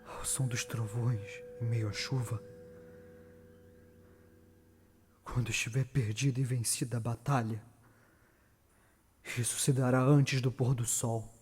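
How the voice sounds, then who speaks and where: A man's voice: mid-range voice, fairly even intonation, slightly rough, soft background music, pitched below the performer's own voice. A Brazilian man playing eerie, prophesying witches in a declamatory, incantatory theatrical Shakespeare monologue. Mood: hushed, ominous. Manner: declamatory, drawn-out, swinging from hush to loud chant. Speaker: stage actor. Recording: long reverberant hall echo.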